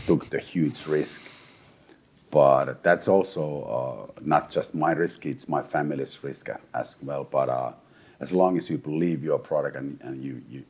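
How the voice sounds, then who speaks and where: A man's voice: rumbling low, darkish tone, breathy edge. A Finnish man in his forties from eastern Finland, a tired interview guest who talks bluntly, swears, and restarts his sentences often. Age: 40s. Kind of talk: casual interview talk.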